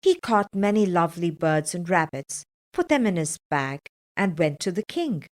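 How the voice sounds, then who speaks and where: A woman's voice: on the low side, animated, moderate pace. A woman narrating a children's fairytale from a script, as a storyteller.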